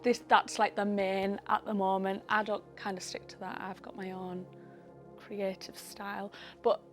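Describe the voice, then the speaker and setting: A woman's voice: medium pitch, level tone, normal speed, faint music behind. Blunt, casual woman in her twenties. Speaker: interviewee. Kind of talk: documentary interview.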